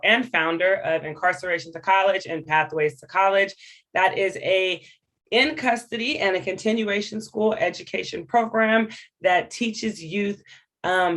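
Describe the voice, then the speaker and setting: Casting: American woman, interview guest, lower register, earnest, emphatic, conversational and frank. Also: a bit bright. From Hawaii, United States. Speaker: interview guest, education program director. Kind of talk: candid, informal interview talk.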